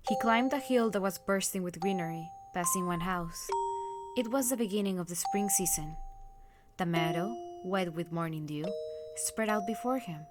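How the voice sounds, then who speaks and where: A woman's voice: fairly low pitch, moderate pace. A woman reading a visual novel aloud as narrator, in a dramatic storytelling style. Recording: music loud behind the voice. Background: loud music.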